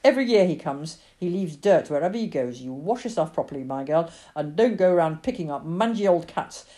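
Woman putting on a gruff, scornful farmer father, emphatic. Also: low voice, lively intonation, a little fast, mellow. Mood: angry, scornful. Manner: scolding, emphatic, complaining.

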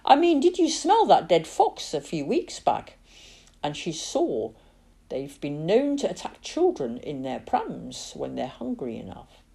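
A woman's voice: sits low, huge pitch swings, unhurried, creaky, mellow, breathy edge, heavily acted. A woman voicing a gossipy, nagging middle-aged aunt who chatters on about hearsay and scolds a boy at the supper table.

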